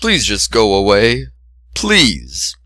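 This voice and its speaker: A man's voice: on the low side, wildly swooping pitch, slow, pushed and bright, no echo, studio quality, heavily acted. Casting: man as big male farm pony, exasperated, flustered, pleading, tense voice, fan-fiction reading.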